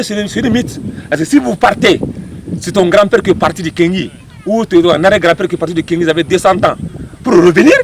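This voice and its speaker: A man from Mali giving an interview outdoors, talking expressively with repeated words and restarts. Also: high-pitched. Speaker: interviewee, local community figure. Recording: loud wind and outdoor noise.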